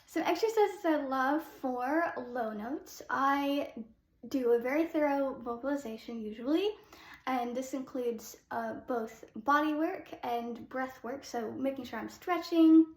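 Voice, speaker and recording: Young soprano teacher in an echoey room. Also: female voice, high-pitched. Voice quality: soprano speaking voice. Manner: explains with sung demos, many um fillers. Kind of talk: casual singing lesson.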